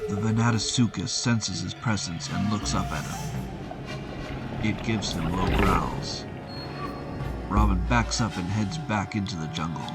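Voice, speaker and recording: A man narrating a scripted audio drama as its storyteller. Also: fairly low pitch. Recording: loud music behind the narration. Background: loud music.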